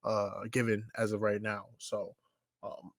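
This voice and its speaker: A man's voice: fairly low pitch, monotone, measured pace, vocal fry, fairly bright tone, no room sound, studio quality. Rambling young man in casual chat. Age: 20s. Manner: loose, rambling, leans on "you know what I'm saying". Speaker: podcast co-host.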